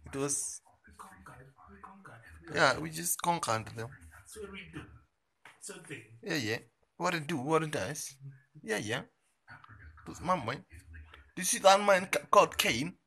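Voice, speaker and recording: Guy doing a slow stammery english footy fan, bit husky, on a phone selfie. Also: fairly high pitch, lively intonation, sharp tone, full character voice. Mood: boastful. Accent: English put on. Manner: halting, stammering, boastful. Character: male English football fan tourist, proud, rambling. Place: indoors, sitting on a couch. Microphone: phone selfie camera close up.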